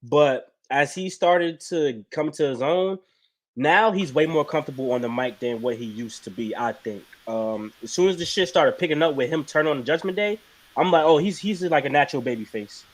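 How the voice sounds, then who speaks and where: A man's voice: fairly high pitch, lively intonation, normal speed. A man co-hosting a casual wrestling chat stream, blunt and profane. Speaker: podcast co-host.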